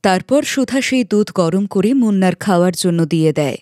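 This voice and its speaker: A woman's voice: mid-range voice, expressive, normal speed, mellow, no room sound, clean studio sound. A woman reading a scripted children's moral story as its narrator.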